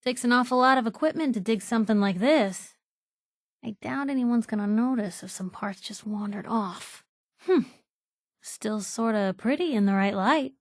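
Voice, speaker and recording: A woman's voice: fairly high pitch, normal speed, no room sound, natural voice. A woman voicing a wry, curious young female reporter and travel companion in a video game, talking in casual, dry banter. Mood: curious, musing.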